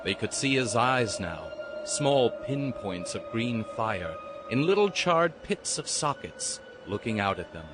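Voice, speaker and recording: A man narrating a dramatized audiobook in a deliberate, suspenseful storytelling style. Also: medium pitch, very animated. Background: prominent music.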